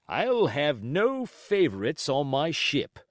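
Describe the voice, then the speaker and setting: A stern sea captain, acted out by a male storybook reader, blunt and dutiful. His pitch swings widely as he drives each formal, curt phrase home, in a very dry recording. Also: wildly swooping pitch, takes it slowly, dry recording, heavily acted. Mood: barking orders.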